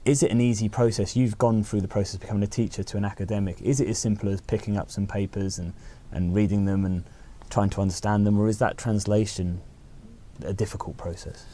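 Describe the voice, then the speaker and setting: Low, mellow male podcast host. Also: fairly even intonation, fast talker. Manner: conversational, loosely phrased questions. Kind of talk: podcast interview.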